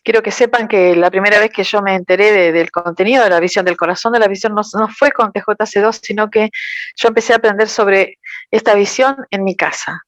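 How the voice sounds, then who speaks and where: A woman interpreting a talk, careful and measured. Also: medium pitch, fairly even intonation, no room sound, muffled recording. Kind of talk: consecutive interpretation.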